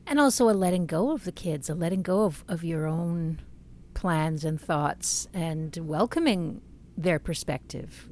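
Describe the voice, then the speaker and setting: A woman's voice: lowish voice, a little hiss or hum. A woman interviewing a poet, asking reflective questions and hesitating now and then.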